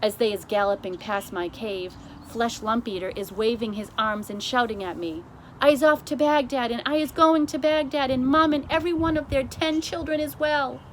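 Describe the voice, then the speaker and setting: A woman's voice: fairly high pitch, normal speed, pitched above the performer's own voice, lightly acted, poor audio. A woman reader puts on a big friendly storybook giant. He is simple and earnest, talking in quaint broken grammar with emphasis, over slight noise. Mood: excited. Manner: quaint ungrammatical speech, emphatic.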